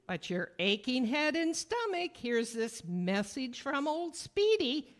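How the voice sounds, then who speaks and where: A woman playing a perky pitchwoman in a vintage radio advertisement, with singsong sales patter. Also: very animated, slow, a little hiss or hum, heavily acted. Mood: perky, selling.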